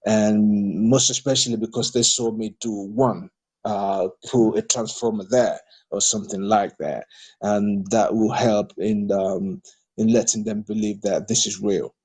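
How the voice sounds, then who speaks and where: A man's voice: on the low side, fairly even intonation, a little slow, a little mellow, slightly rough, rough recording. A man from Nigeria, speaking for a political party in an audio discussion, hesitant with many fillers and restarts but growing emphatic when criticising politicians. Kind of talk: audio discussion replying to a participant.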